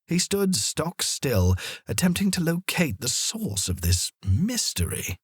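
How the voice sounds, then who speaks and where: A man narrating a children's story in a deliberate, expressive storytelling manner, as an audiobook reader. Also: a little high, slightly rough, no echo, studio quality.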